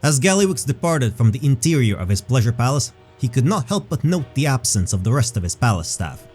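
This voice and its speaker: Casting: male storyteller, medium pitch, measured and dramatic scripted fantasy narration. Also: big pitch swings, a little mellow, faint music behind.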